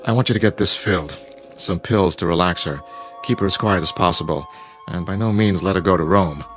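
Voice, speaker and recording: Deep-voiced, grave old family doctor. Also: male voice, a bit bright, soft background music. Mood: grave, cautious. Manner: measured, authoritative. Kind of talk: radio drama acting.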